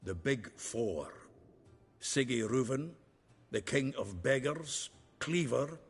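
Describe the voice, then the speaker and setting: An actor playing a gruff, roguish dwarf in a video game, blunt and wry, with a gravelly, husky voice. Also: male voice, medium pitch, animated, slow, big-room sound, heavily acted. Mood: blunt, explanatory.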